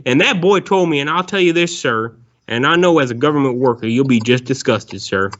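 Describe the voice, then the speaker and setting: A man's voice: medium pitch, expressive, fairly quick, fairly bright tone, close to an everyday voice. A man voicing a rambling, bigoted Southern ex-convict on a prank call, with a folksy drawl and calling everyone sir. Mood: indignant. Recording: dull lo-fi phone call.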